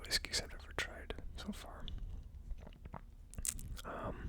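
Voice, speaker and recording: Whispering ASMR whiskey reviewer. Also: low-quality recording. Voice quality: breathy, unvoiced whisper. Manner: hesitant, thinking aloud. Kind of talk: ASMR whispered tasting commentary.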